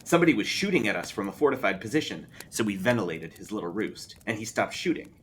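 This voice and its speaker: Haunted soldier for horror audiobook, male reader acting: intense, clipped, pitch lifted and swinging, clear noise behind. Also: expressive, quick pace, fairly bright tone. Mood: grim. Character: haunted, defensive male war veteran. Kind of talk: audiobook-style character voice in a horror story.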